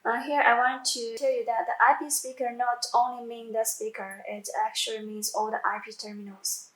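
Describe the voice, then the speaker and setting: A woman who speaks English as a second language, giving a halting step-by-step software tutorial with repeats and self-corrections. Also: fairly high pitch, little pitch movement. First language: not English. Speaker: product tutorial presenter. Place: indoor room, demonstrating at a computer screen. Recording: echoey room sound.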